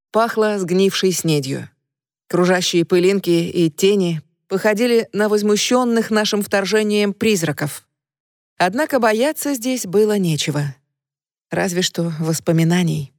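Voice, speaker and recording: Low-voiced woman reading a gothic novel. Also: a little mellow, no echo, studio quality. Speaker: audiobook narrator. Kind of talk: first-person audiobook narration.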